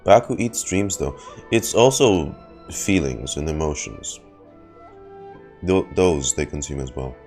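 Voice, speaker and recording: A man giving casual live reaction commentary as a game streamer, playful and a little halting. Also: extremely low pitch, animated, darkish tone. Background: game music.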